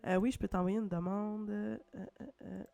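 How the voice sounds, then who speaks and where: A woman's voice: medium pitch, flat delivery, moderate pace, vocal fry, dark tone, no room sound. A woman with a Québécois French accent hosting a livestream while sick with a cold, chatty and full of "euh" fillers and playful asides. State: congested with a cold. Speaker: livestream host.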